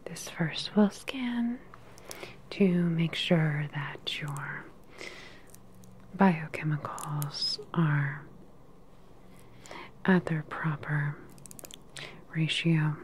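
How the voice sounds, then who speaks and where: Whispering, low-pitched female sci-fi scientist roleplayer. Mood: calm, clinical. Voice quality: breathy whisper. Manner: slow, measured, soothing clinical patter. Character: calm, clinical female evil sci-fi scientist. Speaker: ASMR roleplay performer. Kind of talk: soft whispered ASMR roleplay. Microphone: close-up microphone. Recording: close whisper over humming noise. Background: sci-fi lab ambience.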